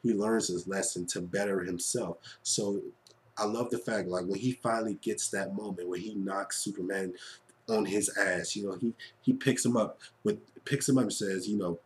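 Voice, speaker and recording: Low-voiced man gushing through a fan review. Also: steady tone, normal speed. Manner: gushing, conversational, repeats phrases for emphasis. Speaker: online film reviewer. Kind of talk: casual, enthusiastic fan review to camera or mic.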